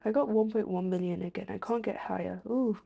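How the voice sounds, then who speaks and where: A woman's voice: medium pitch, fairly quick, creaky, lo-fi sound, background noise. A woman streaming a video game, giving casual commentary as she plays.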